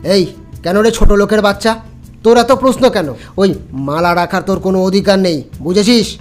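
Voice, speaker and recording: A sly, menacing village man, put on by a male narrator, taunting and quick to anger. He pushes his demands with a commanding bite, music playing behind him. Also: sits high, lightly acted. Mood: angry, threatening.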